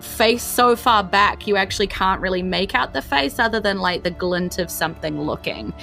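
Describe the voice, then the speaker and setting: A woman's voice: medium pitch, moderate pace, vocal fry, sharp tone, soft background music. A woman with a New Zealand accent narrating an improvised tabletop game as game master in an informal, chatty way.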